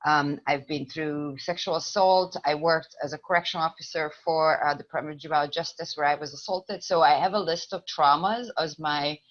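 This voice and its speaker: A woman's voice: deep voice, fairly even intonation, brisk, few pauses. A Hungarian woman with a Hungarian accent, introducing herself earnestly in an online meeting. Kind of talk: personal introduction on a video call. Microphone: video call. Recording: dull lo-fi call audio.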